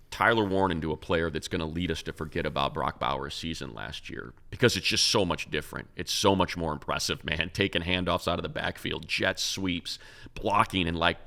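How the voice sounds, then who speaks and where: Deep gravelly man talking football. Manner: rambling, animated analysis with many restarts. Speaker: radio play-by-play announcer, co-host. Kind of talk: casual sports talk.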